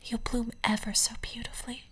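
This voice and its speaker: A woman playing an obsessive, possessive stalker in a whispered ASMR roleplay, speaking in a breathy, intimate way with tender menace. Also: on the high side, little pitch movement, a bit bright. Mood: yearning, coaxing. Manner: slow, intimate, cooing endearments.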